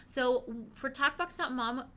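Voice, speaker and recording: A woman giving an upbeat, chatty lesson to parents, encouraging and animated, with frequent rhetorical questions. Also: high-pitched, measured pace. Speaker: presenter teaching parents. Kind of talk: upbeat conversational instructional talk to camera.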